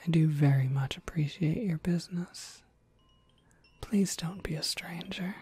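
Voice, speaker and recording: Kindly witch shopkeeper voiced by a female actor, low-pitched husky whisper, gentle and courteous, for ASMR roleplay. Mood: warmly welcoming. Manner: gentle, polite, unhurried. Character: friendly witch shopkeeper, kindly and softly welcoming.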